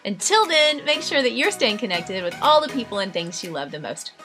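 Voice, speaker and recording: A woman's voice: fairly high pitch, big pitch swings, fairly quick, music in the background. A woman hosting an interview show, gushing and enthusiastic with her guest.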